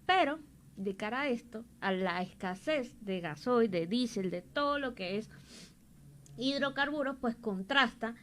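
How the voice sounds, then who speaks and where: A woman's voice: fairly high pitch, lively intonation, unhurried, fairly bright tone, slight background noise. A woman presenting and commenting on the news as a radio host.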